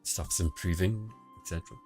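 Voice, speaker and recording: A man streaming casual commentary on a mobile game match, quiet and relaxed, answering chat between calls of the play. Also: moderate pace. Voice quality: quiet, low-level voice. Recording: home stream audio with faint music behind. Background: faint background music.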